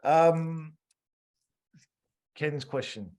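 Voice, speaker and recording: A man's voice: a little high, very slow, darkish tone. A man co-hosting a casual interview, chatting and putting questions to a guest. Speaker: podcast co-host.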